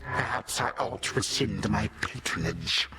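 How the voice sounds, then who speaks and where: A man's voice: lowish voice, expressive, unhurried. An actor playing an ancient titan tyrant in a video game, pompous and sardonic, speaking in formal archaic phrases with menacing grandfatherly condescension. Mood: wry condescension. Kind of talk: theatrical video game villain acting. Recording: studio voice over game music.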